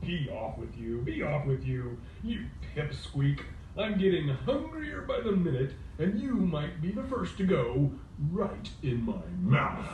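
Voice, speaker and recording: A performer voicing a pompous, blustering lion, king of the jungle, in a theatrical children's puppet show with drawn-out boasts. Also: wildly swooping pitch, highs cut off, noisy background. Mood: mocking. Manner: theatrical, swooping, drawn-out boasts and booming laughs. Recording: roomy, distant mic, music behind.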